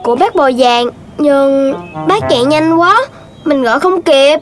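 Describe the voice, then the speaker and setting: A woman voicing a playful, naive little puppy boy in a children's cartoon, childlike and expressive. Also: high-pitched, measured pace. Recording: music playing behind the voice.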